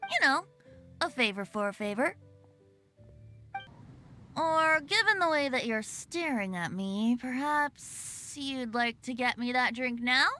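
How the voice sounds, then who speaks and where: A woman's voice: high voice, lively intonation, takes it slowly, long pauses, pushed and bright, faint music behind, full character voice. A woman voicing a playful, teasing young male bard in a video game, laughing often. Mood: mock-dramatic. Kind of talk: game voice acting.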